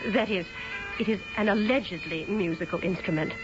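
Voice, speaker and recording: An actress playing an imperious young British fiancée in a radio drama, clipped and theatrical. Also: female voice, medium pitch, fairly quick, over music, natural voice. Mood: indignant. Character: haughty, imperious young English fiancée, exasperated by ghosts. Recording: dull vintage radio drama recording.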